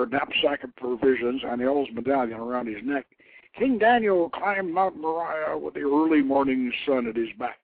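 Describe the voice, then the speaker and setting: A man reading a legend aloud as scripted narration, in a measured, dramatic storytelling manner. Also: mid-range voice. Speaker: host reading his own novelette. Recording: lo-fi, phone-like internet radio.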